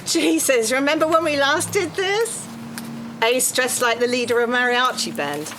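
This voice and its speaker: A woman plays a brash, teasing friend out for the night, high-pitched and very animated. She is sarcastic and bossy in this amateur script read, with faint noise in the background. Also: moderate pace. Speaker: actor at a script table read.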